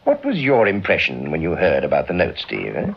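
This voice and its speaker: An actor playing an authoritative, avuncular British senior investigator in an old radio drama. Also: male voice, extremely low pitch, huge pitch swings, a little fast, gravelly, breathy edge, highs cut off, exaggerated voice. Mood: measured, explaining. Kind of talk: mid-century British radio drama acting.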